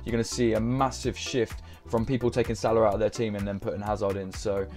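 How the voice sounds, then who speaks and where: Young man, low mellow voice, a bit croaky; casual, halting live-stream chat. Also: level tone, soft background music. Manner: hesitant, many ums and uhs. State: croaky voice from a sore throat. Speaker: fantasy football streamer.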